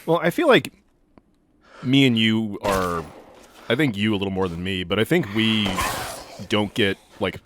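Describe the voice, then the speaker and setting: A man's voice: lowish voice, measured pace, long pauses, background noise. A man in his thirties from California, chatting loosely while playing a game, with plenty of fillers and casual swearing. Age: 30s. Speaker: co-host playing a video game. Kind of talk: casual chat while gaming.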